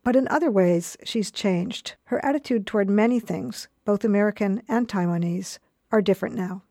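A woman narrating a scripted radio profile in an even, storytelling manner. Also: mid-range voice, normal speed, dry recording, clean studio sound. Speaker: radio program managing director.

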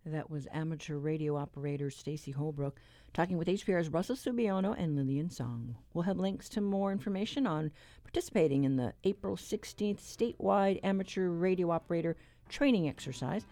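A woman's voice: lowish voice, expressive, brisk, mellow, dry recording. Dry, close-sounding woman radio host. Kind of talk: scripted radio presenting. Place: radio studio.

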